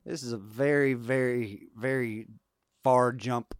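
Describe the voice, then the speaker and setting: A man's voice: medium pitch, steady tone, slow pace, clean tone, no echo, studio quality. A man co-hosting a podcast, teasing and skeptical in casual banter. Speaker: podcast co-host.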